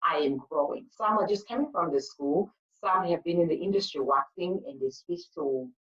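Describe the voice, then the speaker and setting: A woman's voice: lowish voice, moderate pace, fairly bright tone, recorded from a distance, dull audio. A woman from Zimbabwe, a spa owner, answering interview questions in an earnest storytelling way with frequent fillers. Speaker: guest, spa owner and sugar paste maker.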